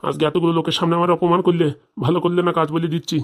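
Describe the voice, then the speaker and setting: Man acting an old village cattle dealer, pushy, huffy, rapid speech, cartoon comedy. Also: on the high side, little pitch movement, close to an everyday voice. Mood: offended, threatening. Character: pushy, easily offended old male livestock broker.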